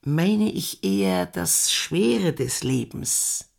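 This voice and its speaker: An actress playing a stately middle-aged farm landlady in a German radio play, speaking in a measured, formal, earnest way. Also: lowish voice, lively intonation, vocal fry, darkish tone, heavily acted. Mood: earnest, reflective.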